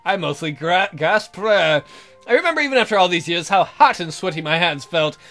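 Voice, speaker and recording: A man's voice: high voice, a bit bright. A man reading a visual novel aloud as a let's player, in a theatrical, jokey way with asides. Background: music.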